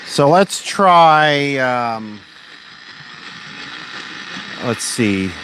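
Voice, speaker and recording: A man's voice: mid-range voice, lively intonation, drawn-out words, soft background music. A man talking casually and hesitantly as he hosts a live demonstration, musing aloud to himself. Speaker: host of a radio demonstration livestream. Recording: loud radio static and hum over voice. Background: noisy shortwave radio static.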